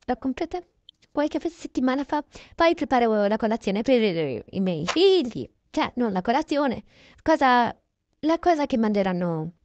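A woman's voice: on the high side, a little mellow, dry recording, muffled recording. A woman narrating a casual improvised roleplay of her day, chatty and correcting herself as she goes. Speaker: narrator voicing a toy-game roleplay video.

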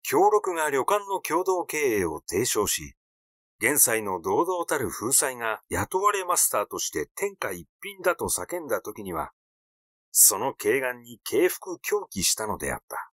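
A man reading literary fiction aloud as a narrator, in a measured storytelling delivery. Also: mid-range voice, big pitch swings, fairly bright tone, no room sound, clean studio sound. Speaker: audiobook narrator.

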